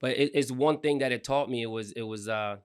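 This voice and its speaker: Smooth-voiced man telling stories casually. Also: mid-range voice, a little fast. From Puerto Rico, raised in New Jersey. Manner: rambling, repeats words and restarts often. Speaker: guest, credit repair business owner. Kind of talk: casual storytelling in interview.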